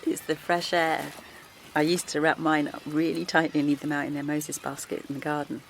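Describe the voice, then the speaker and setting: A kindly middle-aged mum. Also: female voice, sits low, flat delivery, brisk, slight background noise. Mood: warm reassuring. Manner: reassuring, chatty, quietly worried. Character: kindly middle-aged mother of two grown boys. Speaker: campaigner. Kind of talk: naturalistic audio drama acting. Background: faint outdoor seaside ambience.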